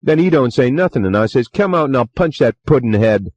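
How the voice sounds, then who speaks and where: A man voicing a young Cockney bellboy who talks in rough dialect and keeps saying "sir", in a muffled, lo-fi recording. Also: mid-range voice, animated, fairly quick, a little mellow, dry recording, pitched above the performer's own voice, natural voice. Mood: agitated. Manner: rough dialect speech, deferential, many "sir"s. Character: young Cockney male bellboy, nervous and chatty.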